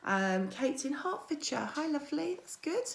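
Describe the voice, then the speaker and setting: Croaky-voiced woman coach on a livestream. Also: high voice, very animated, normal speed, slight background noise. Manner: chatty, greets viewers by name. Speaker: mindset coach. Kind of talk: weekly social media livestream.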